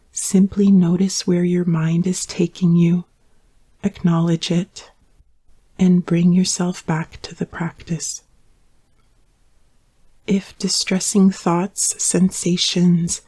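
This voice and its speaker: Female meditation guide, mellow and dark in tone, steady and even in pitch, soothing, unhurried delivery. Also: husky. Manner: calm, soothing, unhurried instruction with long pauses. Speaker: yoga nidra meditation guide.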